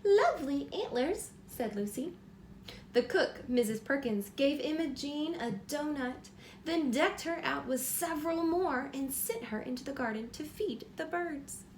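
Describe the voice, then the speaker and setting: High voiced lady reading a kids book out loud, asks little questions, bit of noise behind her. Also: measured pace. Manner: encouraging, explaining simply with prompting questions. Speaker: teacher reading a picture book aloud to children. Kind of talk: children's read-aloud with questions to listeners.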